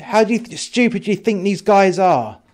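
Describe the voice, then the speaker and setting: A man's voice: on the high side, moderate pace, lightly acted. A man voicing an exasperated, pleading gangland bar owner, protesting with agitated swearing in a spoken story.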